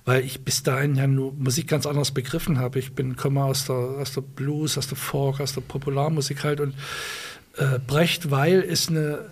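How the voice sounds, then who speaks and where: A man's voice: mid-range voice, level tone, moderate pace, breathy edge. A male musician and guitarist being interviewed, speaking thoughtfully in his native German with many restarts and fillers. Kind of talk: conversational interview.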